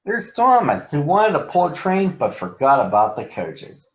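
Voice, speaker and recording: A man's voice: fairly high pitch, huge pitch swings, normal speed, a little hiss or hum, voice pushed up. Man playing teasing storybook engine, taunting, scolding, mocking, dull echoey recording. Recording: roomy echo, dull sound.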